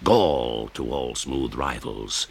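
A man's voice: very deep voice, expressive, takes it slowly, gravelly, husky, full character voice. An actor playing an embittered old author who narrates his tale in a radio drama, wry, scornful and grandiloquent. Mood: bitter, scornful.

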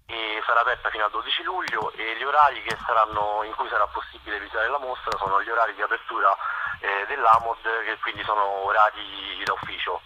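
A man's voice: lowish voice, steady tone, quick pace. A man giving information as a radio interview guest by telephone, hesitating as he finds words. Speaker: interview guest, exhibition photographer. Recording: noisy telephone line on radio.

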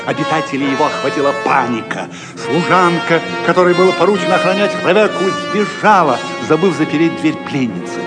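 A man's voice: a little high, expressive, a little fast, hardly any pauses. A man narrating an audio drama from a script in a solemn, theatrical manner. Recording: music clearly behind the narration.

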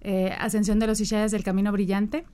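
Female meditation teacher as radio guest, Mexican accent, smooth clear tone, informal explanatory delivery. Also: mid-range voice, flat delivery, brisk, dark tone. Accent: Mexican Spanish. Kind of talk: explanatory conversational interview.

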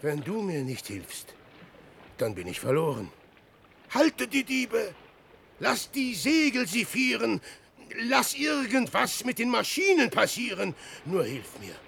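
A grandiose self-pitying dreamer in a radio play, slow and dramatic, gravelly fry. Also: male voice, high voice, sing-song, a little hiss or hum, full character voice. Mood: desperate pleading. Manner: declamatory, swinging from hushed brooding to grand exclamation. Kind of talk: theatrical German radio play monologue.

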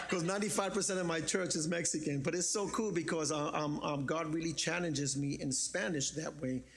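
A man preaching in a loose, joking, conversational way, his voice sitting a bit high for a man. He teases the congregation and then settles into prayer without losing his easy manner. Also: normal speed, gravelly, crowd noise. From the Bronx, New York. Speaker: pastor. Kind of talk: sermon.

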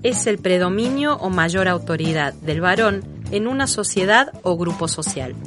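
A woman reading a scripted definition in a measured, explanatory way. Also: fairly low pitch, expressive, over music. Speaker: announcer in a radio spot.

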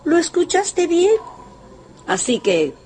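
Radio drama role: haughty old matriarch, mocking and bossy, slow, very animated. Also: female voice, very high voice, slight background noise, full character voice. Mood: bossy, brisk. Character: imperious, scornful old Russian grandmother, rich and sharp-tongued.

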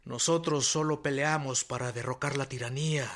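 A man playing a fiery revolutionary general, giving a halting, emphatic speech in a radio drama. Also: medium pitch, steady tone, fairly bright tone, natural voice. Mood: earnest, stirring.

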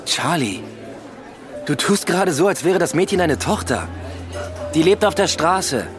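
An actor playing an evasive, grumbling police detective in a radio drama, casual and dismissive. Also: male voice, on the high side, big pitch swings, unhurried, music in the background, a crowd in the background. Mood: irritated.

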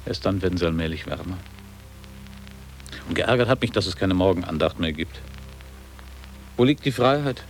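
Deep-voiced reflective former pupil. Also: male voice, lively intonation, faint music behind, low-quality recording. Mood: wistful, searching. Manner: measured, lyrical, formal recitation. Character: reflective older man, former pupil revisiting his boarding school. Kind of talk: literary radio drama monologue.

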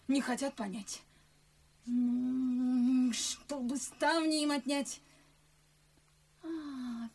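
An actress playing a saucy, lively young maidservant in a verse comedy radio play, with a theatrical, teasing delivery. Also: female voice, a little high, slightly rough, exaggerated voice. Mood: exasperated.

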